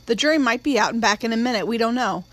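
A woman doing a weary, measured lawyer father. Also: fairly high pitch, no room sound, natural voice. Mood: relenting. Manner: measured, clipped. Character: weary calm lawyer father, middle-aged man.

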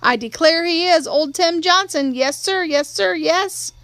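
A woman's voice: sits high, expressive, moderate pace, a bit bright, dry recording. A woman voicing a stern, bossy housekeeper in an audiobook, speaking emphatic, folksy Southern dialect. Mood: urgent, alarmed.

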